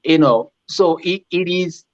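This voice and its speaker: A man who is a priest, speaking on a panel in a halting way with many fillers. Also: high-pitched, dry recording, highs cut off. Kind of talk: panel discussion remarks.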